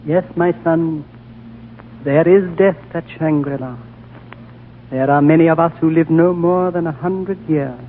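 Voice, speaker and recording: Ancient wise lama: higher-set voice, solemn and deliberate, 1940s radio drama on a tinny recording. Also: male voice, steady tone, a little hiss or hum. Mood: grave. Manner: measured, solemn, deliberate storytelling. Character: ancient, wise, gentle male High Lama.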